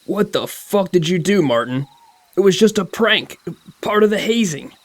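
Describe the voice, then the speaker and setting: Man playing a bossy college frat leader, raised pitch, hostile, commanding, shouted delivery, audio horror drama. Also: expressive, moderate pace. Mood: alarmed, angry. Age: young adult. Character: angry, bossy young male fraternity president.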